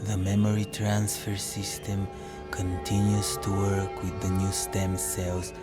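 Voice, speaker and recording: A solemn, weary ancient space wanderer , played by a man, speaks in a science fiction audio drama. The voice is low and very slow, measured throughout, with loud music behind it. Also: completely flat delivery. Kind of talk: scripted science fiction monologue.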